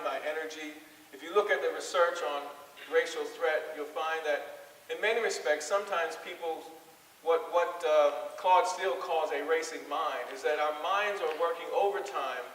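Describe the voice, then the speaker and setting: A man's voice: fairly high pitch, a bit bright. Man lecturing in an echoey hall. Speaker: academic guest speaker. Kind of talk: public lecture with questions to the audience. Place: large indoor auditorium with aisles. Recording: echoey hall from far off, faint hiss.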